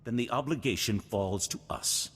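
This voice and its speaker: An actor playing a noble, resolute duke in a video game, husky, formal and stately in delivery. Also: male voice, mid-range voice, normal speed, fairly bright tone. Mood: courtly. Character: noble, resolute older duke, solemn war leader. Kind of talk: cinematic video game voice acting. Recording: long hall reverb, music behind.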